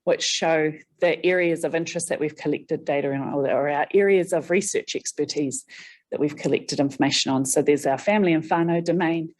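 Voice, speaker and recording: A woman presenting a research study at a workshop, steady and explanatory with occasional ums. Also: low-pitched, fairly quick. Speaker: research study team member.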